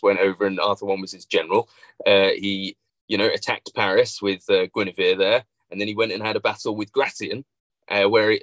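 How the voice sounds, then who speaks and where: Man, guest on a video call, low voice, chatty explainer, dry close sound. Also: fairly bright tone, no echo. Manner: explains at length, sprinkles "you know" and "uh". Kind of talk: casual discussion on a video call.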